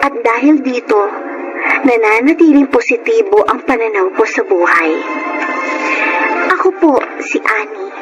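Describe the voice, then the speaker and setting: A woman's voice: on the high side, measured pace, long pauses, muffled recording, over music, natural voice. A woman playing a hopeful seventeen-year-old girl, narrating her life earnestly in a radio drama.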